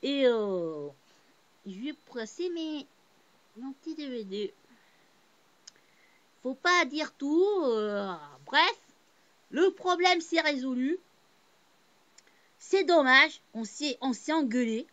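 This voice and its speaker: A woman's voice: high-pitched, slow pace, long pauses, lo-fi sound. Woman, casual monologue, rambling and heartfelt, full of fillers. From born in Portugal, Cape Verdean family. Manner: rambling, many fillers and restarts; heartfelt, swings between subdued and animated.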